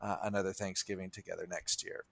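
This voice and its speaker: A man's voice: low voice, little pitch movement, fast, gravelly. A man co-hosting a podcast who speaks thoughtfully in a casual chat, hesitating often and restarting his sentences. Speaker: podcast co-host.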